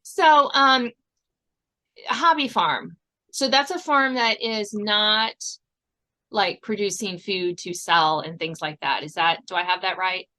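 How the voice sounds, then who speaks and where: A woman's voice: medium pitch, slow, pushed and bright. A woman hosting a podcast in a casual interview, chatty and given to laughing. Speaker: podcast host.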